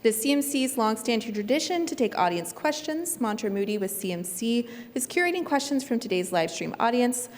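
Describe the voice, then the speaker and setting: A woman's voice: on the high side, sing-song, fairly quick, hardly any pauses, in a large hall. A woman moderating a public forum, reading formal announcements. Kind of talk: moderating a panel.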